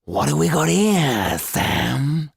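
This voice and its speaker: A hulking fish-eyed street thug, as a storyteller puts him on, drawling slowly with menace. His voice sits raised and loud against the narration. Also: on the high side, big pitch swings, creaky, breathy edge, no room sound, clean studio sound, voice pushed up, heavily acted. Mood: menacing.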